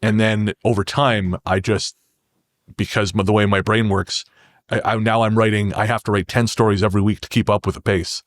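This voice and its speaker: Male podcast guest, lowish pitch, halting conversational delivery, very dry sound. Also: little pitch movement, dry recording. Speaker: podcast guest, horror fiction writer. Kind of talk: conversational interview talk.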